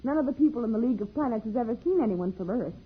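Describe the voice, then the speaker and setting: A woman playing a lively, exclamatory young space traveler in a 1950s radio serial. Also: fairly high pitch, rapid-fire, slightly rough. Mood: brisk. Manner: exclamatory, melodramatic. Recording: noisy, tinny old radio serial recording.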